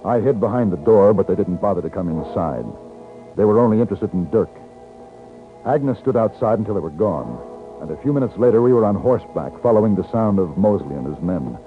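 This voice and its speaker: A man playing a calm, principled gunfighter hero in a 1950s radio western, measured and firm. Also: fairly low pitch, faint music behind, natural voice. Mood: steady narrating. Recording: noisy muffled 1950s radio recording.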